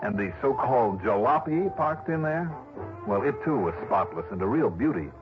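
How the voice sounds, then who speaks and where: A man's voice: mid-range voice, animated, moderate pace, music in the background, close to an everyday voice. An actor playing a dry, probing male investigator in 1960s radio drama, with clipped questions and unruffled narration. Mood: brisk narrating. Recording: noisy, muffled old radio broadcast.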